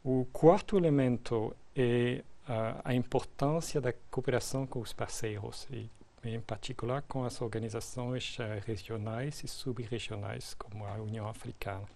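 Gravelly, hesitant man. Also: mid-range voice, steady tone, warm tone, a little hiss or hum. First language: French. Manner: deliberate, hesitant with many fillers. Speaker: UN official, guest. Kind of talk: interview answers.

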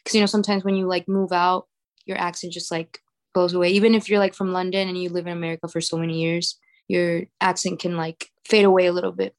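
A woman's voice: on the low side, little pitch movement, quick pace. Young woman w/ venezuelan accent, spanish first language, chatty singer, says "like" a lot. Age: young adult. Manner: chatty, filler-heavy with "like". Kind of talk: casual interview.

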